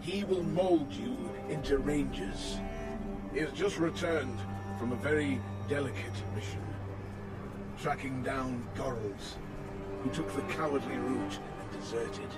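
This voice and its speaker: An actor playing a stern old lord commander in a fantasy game, giving grave, formal oratory. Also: male voice, mid-range voice, a little slow, loud music behind the voice, close to an everyday voice. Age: older. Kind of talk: dramatic fantasy game acting.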